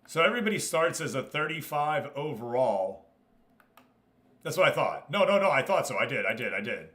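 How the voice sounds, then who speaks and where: Male American livestream host, medium pitch. Casual, chatty game commentary that switches between greeting viewers and explaining the play. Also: very animated, normal speed, fairly bright tone. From United States. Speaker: live streamer and host.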